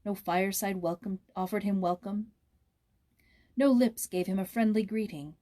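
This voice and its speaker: A woman narrating a children's story aloud in a measured, expressive storytelling manner. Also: mid-range voice. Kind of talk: storybook read-aloud with informal introduction.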